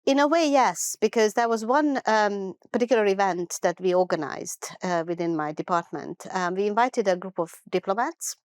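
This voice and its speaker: Hungarian woman, clear unhurried storyteller. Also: medium pitch, dry recording, studio quality. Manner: earnest, unhurried storytelling with thoughtful pauses and restarts. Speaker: guest. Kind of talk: personal life story in an interview.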